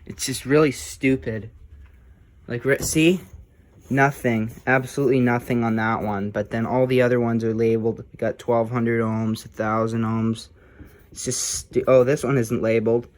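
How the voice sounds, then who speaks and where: A man chatting casually and unscripted as he works on a repair, speaking in a halting, muttering way like a hobbyist thinking aloud. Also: medium pitch, little pitch movement. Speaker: hobbyist restoring old electronics. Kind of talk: casual unscripted talk while working on a repair.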